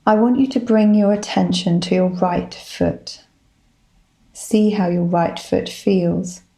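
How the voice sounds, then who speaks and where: A woman leading a guided body scan meditation, giving gentle, soothing instructions in a calm manner. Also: mid-range voice, takes it slowly, vocal fry, low-quality recording. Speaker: psychologist, guided meditation leader.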